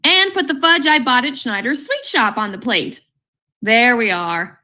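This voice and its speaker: A woman's voice: fairly high pitch, sing-song, a little slow, bright, forceful tone, full character voice. A woman playing a wry, patient wife who humors her husband in an old-time radio comedy, on a noisy recording. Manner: wry, indulgent.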